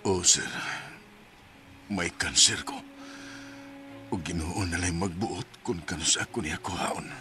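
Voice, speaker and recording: A man's voice: low-pitched, measured pace, pauses often, sharp tone, a little hiss or hum. A man playing a poor, ailing father in a radio drama, humble, subdued and resigned to death. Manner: subdued, deferential.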